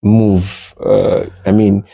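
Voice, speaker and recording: Low-voiced male interview host. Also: flat delivery, drawn-out words, gravelly, dry recording, clean studio sound. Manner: warm affirmations, frequent "wow" and "okay". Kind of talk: casual interview chat.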